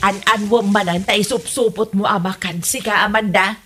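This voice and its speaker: A woman's voice: mid-range voice, fairly even intonation, normal speed, fairly bright tone, faint music behind, natural voice. A woman playing a stingy, grumbling older mother-in-law in a comedy audio drama, scolding and begrudging as she talks. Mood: grudging, scolding. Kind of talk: comedy radio drama acting.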